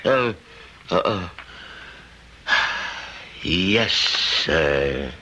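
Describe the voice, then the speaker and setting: An actor playing a hesitant, obedient servant in an old-time radio drama, halting and deferential. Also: male voice, low voice, lively intonation, heavily acted. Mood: uneasy, deferential. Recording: very noisy old radio recording.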